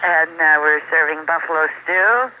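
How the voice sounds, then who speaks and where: A woman's voice: deep voice, normal speed. A woman chatting casually as an interviewee, informal and good-humoured, with a hesitant way of talking. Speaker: general store owner being interviewed. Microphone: telephone. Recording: noisy, very narrow phone line.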